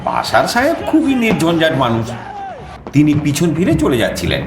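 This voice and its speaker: A man's voice: a little high, normal speed, reverberant. An actor playing a theatrical raconteur who tells his own travel adventure as a dramatised audio story. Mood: tense, vivid. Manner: expressive, theatrical. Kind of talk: dramatised audio story narration in first person. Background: background music.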